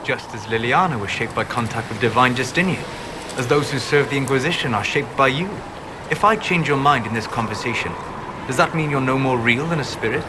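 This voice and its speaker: An actor playing a calm, scholarly mage in a video game, speaking in a measured, eloquent way. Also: male voice, medium pitch, background noise, close to an everyday voice. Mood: calm, reflective. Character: calm, scholarly, thoughtful male spirit-dreamer mage.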